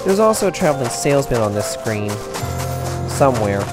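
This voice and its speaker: A man's voice: mid-range voice, sing-song, a little fast. A man hosting a let's play with casual, offhand game commentary. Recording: game music behind the voice.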